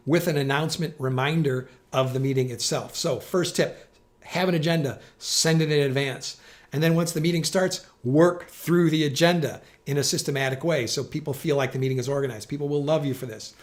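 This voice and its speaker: A middle-aged man presenting practical tips in an organized, plain-spoken explainer style, stressing his key points. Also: fairly high pitch. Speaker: presenter giving communication tips. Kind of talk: instructional explainer to camera.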